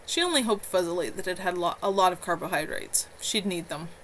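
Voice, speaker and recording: A woman's voice: fairly low pitch, lively intonation, fairly quick, fairly bright tone, a little hiss or hum. A woman author reading her own novel aloud live from home, earnest, with a few small stumbles.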